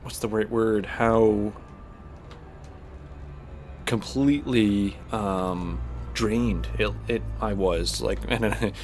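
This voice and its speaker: Low-voiced man, slightly stuffed up. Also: fairly even intonation, drawn-out words, long pauses, a little mellow, faint music behind. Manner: rambling, heavy on fillers and restarts. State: slightly stuffed-up voice while recovering from illness. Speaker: gaming channel host. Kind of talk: casual chat while playing a space game.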